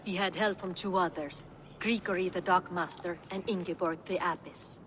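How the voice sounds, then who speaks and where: A woman's voice: lowish voice, steady tone, normal speed, fairly bright tone, soft background music, close to an everyday voice. A woman playing a steadfast, plain-spoken warrior from Bjarmia in a video game, with terse delivery. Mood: businesslike. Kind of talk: video game dramatic dialogue.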